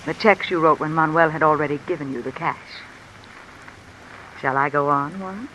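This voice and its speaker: A woman's voice: low-pitched, moderate pace, poor audio. A woman playing a calculating, discontented wife with an insinuating, controlled manner in 1950s radio mystery style. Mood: knowing, confident. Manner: insinuating, controlled, coolly commanding. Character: cool, calculating, discontented wife blackmailing a man. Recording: old radio recording with steady rain noise. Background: rain falling.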